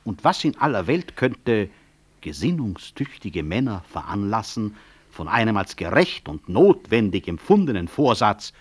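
A proud, stubborn medical director in a 1960s radio play. His low voice has lively, wide intonation, and he is dryly ironic, courteous and blunt. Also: measured pace. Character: proud, stubborn male physician and hospital director. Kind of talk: 1960s German radio drama acting.

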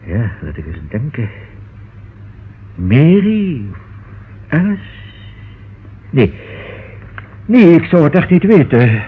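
Husky, fussy solicitor. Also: male voice, fairly high pitch, very animated, takes it slowly, pauses often, heavily acted. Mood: hesitant recalling. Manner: hesitant, fussy, exclamatory. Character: fussy, nervous, forgetful male solicitor in his office. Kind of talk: radio play acting. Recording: muffled, band-limited old radio recording.

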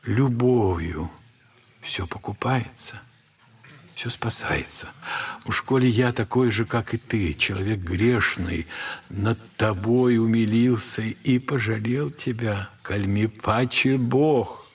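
An actor playing a saintly old monastery elder, gentle and consoling, in a hoarse audio drama performance. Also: male voice, fairly low pitch, full character voice. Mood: tender, consoling. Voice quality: hoarse, gravelly. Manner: slow, tender, consoling.

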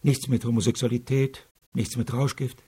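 An actor playing a troubled, insistent senior prosecutor in a radio crime drama, questioning pensively in a raspy voice. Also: male voice, mid-range voice, flat delivery, moderate pace, dark tone. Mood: troubled, probing. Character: troubled, probing senior male chief prosecutor.

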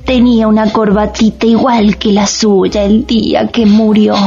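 An actress playing a sweet, trusting old American widow in a radio drama, with a homely, chatty manner. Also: female voice, medium pitch, level tone, measured pace, mellow, highs cut off, soft background music, natural voice. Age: older.

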